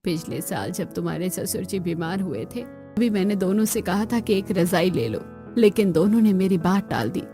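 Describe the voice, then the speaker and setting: A woman's voice: lowish voice, lively intonation, moderate pace, music in the background, close to an everyday voice. A woman voicing an older cartoon mother-in-law who is stern, worrying and caring. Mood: worried. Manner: scolding, worrying.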